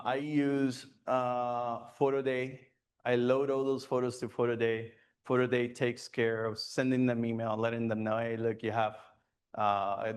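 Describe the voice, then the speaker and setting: A man speaking at a club meeting in a loose, conversational way, often stopping and restarting with fillers. His voice is smooth and clear at a middle pitch. It sounds like a relaxed talk in a meeting room. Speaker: guest speaker at a photography club. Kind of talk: presentation with audience questions.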